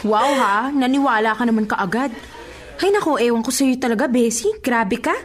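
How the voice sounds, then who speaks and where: An actress playing a blunt, sceptical young best friend in a radio drama, sassy and mock-exasperated, with a Filipino accent. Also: female voice, on the high side, normal speed, close to an everyday voice. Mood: sassy, teasing.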